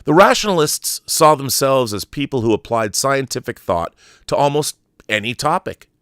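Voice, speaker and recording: A man's voice: mid-range voice, lively intonation, moderate pace, a bit bright, no echo, studio quality. A man hosting an online show, reading a long article aloud and adding brief asides. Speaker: YouTube host.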